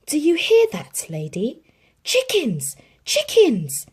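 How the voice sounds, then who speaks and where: A woman voicing an eager, excitable scruffy male stray dog in a storybook read-aloud, with breathless exclamations. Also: sits high, takes it slowly, voice pushed up, full character voice. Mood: excited.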